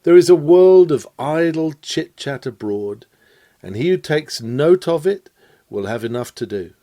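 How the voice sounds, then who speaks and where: A man reading an old-fashioned sermon lecture aloud in a measured, rhetorical way with emphatic phrasing. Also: a little high, expressive, warm tone, no room sound. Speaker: reader of a recorded sermon lecture. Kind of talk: scripted read-aloud of a Victorian lecture.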